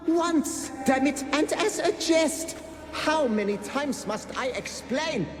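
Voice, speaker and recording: A man's voice: extremely high pitch, lively intonation, takes it slowly, full character voice. An actor playing a bitter, sarcastic dead nobleman and gambler in a video game, exasperated and theatrical. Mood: exasperated, outraged. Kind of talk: video game dialogue acting. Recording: long hall reverb.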